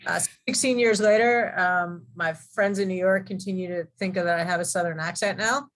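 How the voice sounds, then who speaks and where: A woman's voice: on the low side, steady tone, normal speed, fairly bright tone. A woman who is a clinical law professor, speaking in a chatty, self-deprecating way on a video call. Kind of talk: virtual panel talk.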